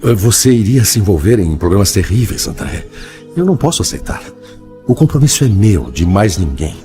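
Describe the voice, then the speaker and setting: An actor playing a sorrowful, resigned male spirit and devoted father in an audio drama, with measured, dramatic delivery. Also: lowish voice, sing-song, mellow, faint music behind. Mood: troubled, resolute. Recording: clearly noisy background.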